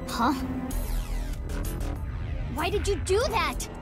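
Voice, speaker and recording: A bossy teenage girl from a video game, high and very animated. She is blunt, demanding and snappy, with music playing clearly underneath. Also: drawn-out words, full character voice. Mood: exasperated. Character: tough, bossy, sharp-tongued teenage girl.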